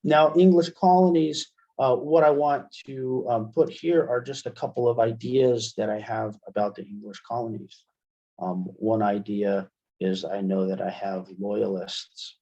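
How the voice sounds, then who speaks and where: A middle-aged man with an American accent walks through a software tutorial in an informal, halting manner. His voice is soft-edged and relaxed, and the sound is a little dull, as on a video call. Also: fairly low pitch. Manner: informal, halting, checks in with "right?". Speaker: webinar presenter and instructor. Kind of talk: software tutorial, explaining step by step. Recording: muffled, dull computer-call audio.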